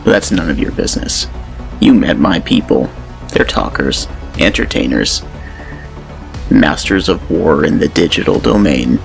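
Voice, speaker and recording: Male actor as a menacing enforcer: very deep, slow, calm and cold. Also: gravelly, soft background music, full character voice. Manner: slow, measured threats with dark relish. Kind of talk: audio drama acting.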